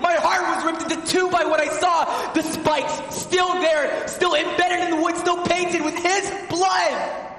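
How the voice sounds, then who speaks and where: A man's voice: very high voice, slight background noise, full character voice. A young man performing a dramatic stage monologue as a grieving, guilt-ridden fisherman disciple, theatrical and emotional. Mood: agonised grief. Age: teenager or young adult. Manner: theatrical, emotional, long pauses with swells into shouting. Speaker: monologue performer in a talent competition. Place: large indoor hall, live on stage. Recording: echoey large hall, long reverb.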